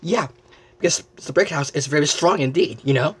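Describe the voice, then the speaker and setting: A man hosting a story show, presenting excitedly to viewers and often halting to repeat phrases. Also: fairly high pitch, breathy edge.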